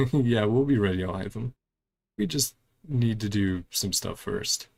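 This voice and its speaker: Low, gravelly man commentating on a game. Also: a little slow, darkish tone. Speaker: gaming channel host. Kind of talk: casual game playthrough commentary, reading dialogue along.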